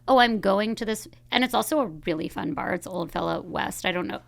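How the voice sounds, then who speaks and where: A woman's voice: medium pitch, fairly quick, vocal fry. A woman in her thirties, a podcast host talking in a casual, chatty way full of fillers. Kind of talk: casual chat.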